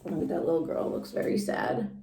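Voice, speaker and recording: A woman's voice: low-pitched, animated, normal speed, creaky, dark tone, slight background noise. A woman teacher reading a children's book aloud and commenting on it for young listeners. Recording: echoey room, distant from mic.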